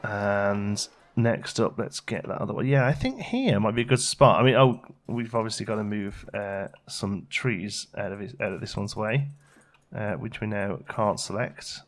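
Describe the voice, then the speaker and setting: A man giving casual, low-key gameplay commentary as a video host, thinking aloud and muttering to himself as he works. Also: animated, normal speed. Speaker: let's play video host.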